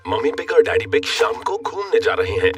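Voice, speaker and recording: A man's voice: a little high, sing-song, a little fast, distant mic, loud music behind the voice. A man giving scripted storybook-style narration for a children's cartoon.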